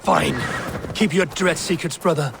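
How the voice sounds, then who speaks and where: A wary Viking warrior in a cinematic game. He speaks with stern, measured weight in a somewhat raised register. Outdoor noise and horses are clearly audible behind him. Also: fairly high pitch. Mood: skeptical. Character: wary, loyal Viking raider, younger brother in arms. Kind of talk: cinematic video game acting.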